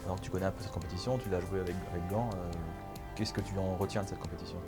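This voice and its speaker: A man's voice: deep voice, fairly even intonation, racing, music in the background. A man interviewing a new player for the club, leading with prepared questions and stumbling now and then. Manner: leads with set-up questions, occasional stumbles.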